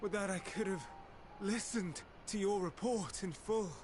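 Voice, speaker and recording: An actor playing a remorseful scholarly man in a game cutscene, speaking slowly and formally. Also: high voice, noisy background. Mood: sorrowful. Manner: slow, formal, sorrowful speech. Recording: game audio with music score.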